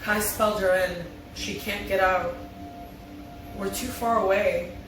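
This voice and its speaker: A supernatural drama leading lady, gloating or pleading, read out by a woman at a table read. The sound is distant and echoey. Music plays clearly behind the voice. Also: medium pitch, fairly even intonation, unhurried, natural voice. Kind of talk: amateur script reading.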